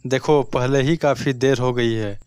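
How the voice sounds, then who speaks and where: A man's voice: medium pitch, steady tone, unhurried, a little mellow, clean tone, dry recording, soft background music, close to an everyday voice. A man voicing a formal, matter-of-fact doctor in a cartoon story. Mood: firm, grave. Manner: firm, formal.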